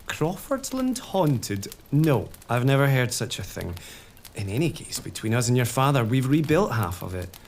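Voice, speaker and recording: Casting: a warm-hearted laird soothing his wife, expressive delivery. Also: mid-range voice, moderate pace, slight background noise. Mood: teasing. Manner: tender, reassuring. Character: devoted laird, gentle and teasing husband. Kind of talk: period audio drama.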